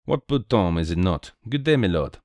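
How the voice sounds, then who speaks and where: A man's voice: deep voice, expressive, moderate pace, darkish tone, dry recording, clean studio sound. A man voicing a small, cheerful, self-assured detective with a courteous, precise, theatrical manner, in a storybook reading. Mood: placid, courteous.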